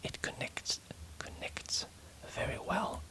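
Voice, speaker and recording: A man whispering as he reads and edits a story aloud, with hesitant fillers and self-corrections. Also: slow pace. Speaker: writer editing a story aloud. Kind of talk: whispered ASMR story editing.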